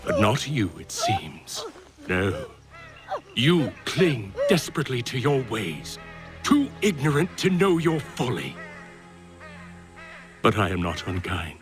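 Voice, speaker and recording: An actor playing a menacing, arrogant colonial villain who talks down to his victim in a slow, deliberate, condescending way in a video game. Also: mid-range voice, huge pitch swings, over loud music. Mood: contemptuous, lofty. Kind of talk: dramatic video game cutscene acting.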